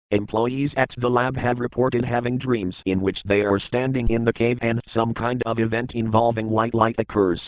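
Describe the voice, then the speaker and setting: A man's voice: mid-range voice, dry recording. Phone-like, dry man narrating scripted horror.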